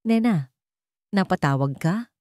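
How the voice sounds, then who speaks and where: A woman's voice: lowish voice, expressive, a little slow, darkish tone, no room sound, studio quality. A woman voicing a distraught, frightened single mother in a dramatic audio story. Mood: worried. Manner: pleading, distraught. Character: desperate, frightened single mother of five young children.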